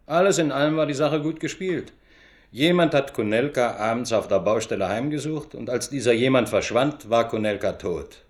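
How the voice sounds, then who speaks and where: A man's voice: mid-range voice, normal speed, close to an everyday voice. A man playing an unruffled chief homicide detective in a radio play, speaking with dry, casual authority. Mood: dry, resigned. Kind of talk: naturalistic radio drama dialogue.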